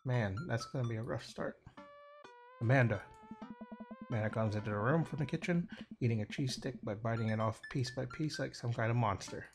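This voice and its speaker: A man's voice: mid-range voice, brisk. A man livestreaming a game, casually reading dialogue aloud and sometimes stumbling over the lines in an informal, hesitant way. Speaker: game streamer. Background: game music.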